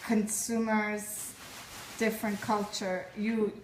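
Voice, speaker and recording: A woman's voice: medium pitch, little pitch movement, slow pace, slight background noise. Woman interviewer in an echoey room. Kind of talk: asking interview questions.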